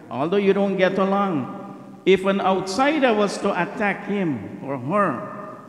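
A middle-aged man giving an impassioned Friday sermon at a mosque lectern, repeating lines for emphasis and reciting Arabic supplications quickly. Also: high voice. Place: mosque prayer hall. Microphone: stand mic at a lectern. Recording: echoey hall.

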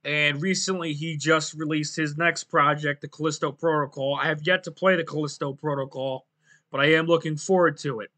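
A young man hosting his own podcast from a small home room on a headset, rambling casually, his voice going a little hoarse. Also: on the high side, steady tone, fairly quick, sharp tone. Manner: rambling, loses his train of thought. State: voice going hoarse, clearing throat. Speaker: podcast host and game developer. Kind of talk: casual solo podcast talk.

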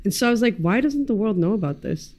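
A woman, a graphic designer chatting as a podcast guest, animated and loose, swearing and dropping Arabic words into her English. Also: medium pitch, quick pace. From United States. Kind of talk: casual interview chat. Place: studio room with pinned boards. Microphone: desk mic on stand, headphones.

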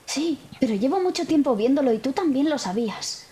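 A soft-toned, mellow woman's voice, pitched fairly high. She speaks with a Spain accent, improvising dialogue as a player in a tabletop role-playing session. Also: animated, fairly quick.

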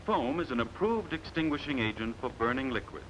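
An American man narrating a scripted 1950s training film in a measured, authoritative, emphatic manner, on a vintage soundtrack. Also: medium pitch, lively intonation, a bit bright, slight background noise, low-quality recording.

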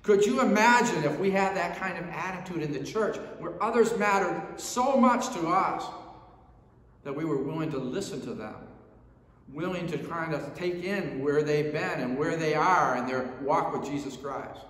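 A man's voice: a little high, moderate pace, fairly bright tone. A middle-aged man with an American accent preaching a sermon as a pastor, earnest and emphatic, repeating phrases for stress. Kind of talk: sermon with scripture reading. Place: church sanctuary, standing at a lectern. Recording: very echoey church hall.

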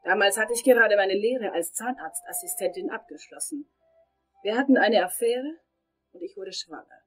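An actress playing a vengeful, unhinged dental assistant turned killer in a German crime radio drama, with dramatic pauses. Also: female voice, medium pitch, expressive, husky, faint music behind. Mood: bitter, wounded. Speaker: voice actor.

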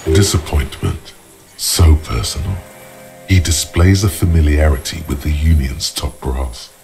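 An actor voicing a cerebral, observant inner-voice narrator in a video game, measured and literary. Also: male voice, very deep voice, lively intonation, gravelly, full character voice. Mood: cool, probing. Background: music.

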